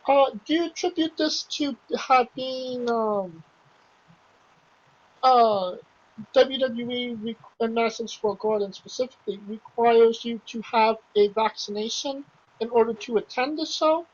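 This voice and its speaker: A woman's voice: a little high, a little slow. A woman hosting a casual wrestling podcast who asks questions and laughs easily. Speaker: podcast host. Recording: dull lo-fi podcast audio.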